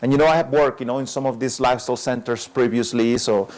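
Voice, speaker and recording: A man of ordinary pitch talks through his career in an easygoing way. He drops in plenty of fillers and chuckles at his own stories. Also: fast talker. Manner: relaxed, chuckles often, many fillers like "you know". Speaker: lifestyle medicine doctor. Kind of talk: interview guest, storytelling.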